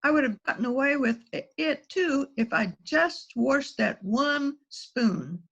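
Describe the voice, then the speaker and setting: A woman's voice: sits high, unhurried, vocal fry, a bit bright, highs cut off, full character voice. A woman playing a polite, composed housewife with a secret in an amateur readers theater rehearsal, speaking in a mannered way. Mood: smug, bitter. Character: polite, outwardly innocent housewife, secretly a murderer.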